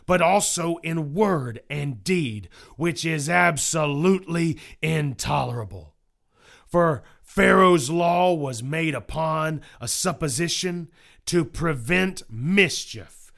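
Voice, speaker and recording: A man voicing a hateful, stern judge in a dramatized allegory, declaiming with deliberate emphasis. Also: a little high, vocal fry, a bit bright, voice pushed up, exaggerated voice.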